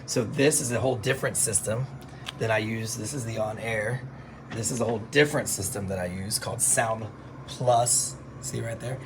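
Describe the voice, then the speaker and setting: A man's voice: fairly low pitch, normal speed, noisy background. Laid-back male radio DJ chatting. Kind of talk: casual livestream chat. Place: radio studio, indoors. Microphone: phone.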